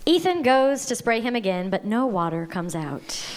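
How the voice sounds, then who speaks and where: A woman reading the stage directions aloud at a live table read, steady and measured. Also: on the high side. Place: live venue.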